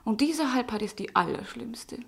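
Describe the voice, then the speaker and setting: An actress playing a young, charming, witty lady-in-waiting in a German radio drama, teasing, ironic and sharp-tongued. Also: on the high side, expressive, moderate pace, vocal fry. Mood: mocking, scornful. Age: young adult.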